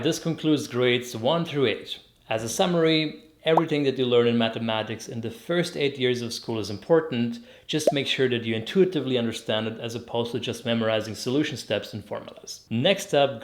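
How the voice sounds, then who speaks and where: A young man from Croatia, hosting an educational video, explains math step by step in clear, methodical scripted narration with a light joking touch. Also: medium pitch.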